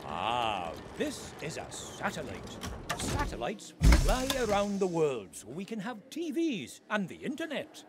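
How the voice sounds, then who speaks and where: An actor playing an excitable, welcoming rabbit space tour guide in a cartoon game, with theatrical delivery. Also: male voice, high voice, unhurried, heavy background noise, exaggerated voice. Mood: cheerful, explaining. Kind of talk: cartoon game character acting.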